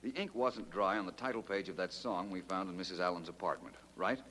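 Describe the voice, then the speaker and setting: An actor playing a suave, confident American detective in an old radio drama, explaining things with wry assurance. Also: male voice, sits low, a little fast, fairly bright tone, slight background noise. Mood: assured. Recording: reverberant old radio recording.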